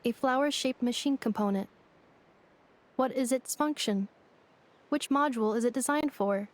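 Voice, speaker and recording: A woman's voice: fairly high pitch, moderate pace, slight background noise, lightly acted. A woman voicing a literal-minded female android. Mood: inquisitive. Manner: flat, precise, formal machine-like phrasing. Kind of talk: game voice acting. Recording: game dialogue over music. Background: game score music.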